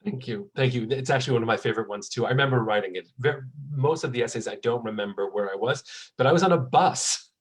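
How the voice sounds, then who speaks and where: Husky-voiced rabbi telling stories. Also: male voice, medium pitch, fast talker, hardly any pauses. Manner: warm storytelling, acts out quotes. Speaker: rabbi and author. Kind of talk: conversational interview.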